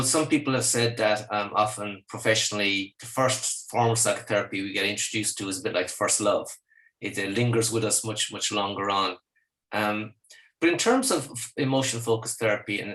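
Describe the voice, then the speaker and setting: A man's voice: lowish voice, fairly bright tone, poor audio. A man hosting an interview podcast, encouraging and courteous, asking long questions with some hesitant fillers.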